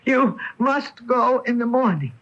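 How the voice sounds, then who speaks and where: An actress playing a grave, haunted landlady, speaking ominously and deliberately in a 1980 radio drama. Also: fairly high pitch, lively intonation. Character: grave, haunted landlady warning her tenant. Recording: dull lo-fi radio broadcast.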